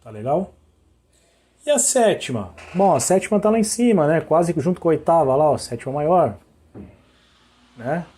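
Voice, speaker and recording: Hoarse man teaching music intervals. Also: a little high, expressive, normal speed, pauses often, dark tone. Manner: sings interval notes to show them; checks in often with short questions. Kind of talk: sung and spoken music lesson.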